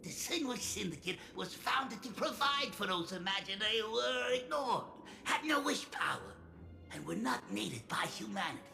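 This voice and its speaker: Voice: medium pitch, animated, moderate pace, creaky, reverberant, faint music behind. An actor playing a flamboyant, scheming crime syndicate boss in a video game, with a theatrical, drawling delivery. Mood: shrewd, reflective. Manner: theatrical, drawling, punctuated by chuckles and loud flourishes. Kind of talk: animated video game voice acting.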